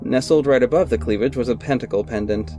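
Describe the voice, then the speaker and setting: An actor playing a dry, sarcastic young private investigator and vigilante, narrating an audio drama in first person. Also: male voice, medium pitch, fast, mellow, faint music behind, natural voice.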